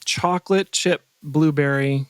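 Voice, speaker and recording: A man's voice: fairly high pitch, a little slow, clean tone, no echo. A man chatting casually as a podcast guest, easygoing and agreeable. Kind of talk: casual chat.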